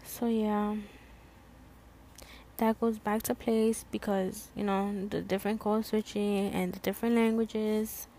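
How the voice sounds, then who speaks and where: Slow-spoken young woman, rambling. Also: mid-range voice, little pitch movement, dark tone, slight background noise. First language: Spanish. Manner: rambling, many fillers and restarts. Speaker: student podcast host. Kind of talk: casual solo podcast talk.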